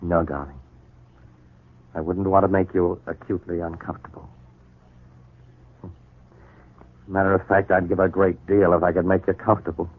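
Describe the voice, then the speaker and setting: An actor playing a troubled, guilt-ridden husband in a 1940s radio soap opera, brooding, deliberate and tender. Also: male voice, low voice, fairly even intonation, husky. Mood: remorseful, tender. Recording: muffled, narrow 1940s radio broadcast recording.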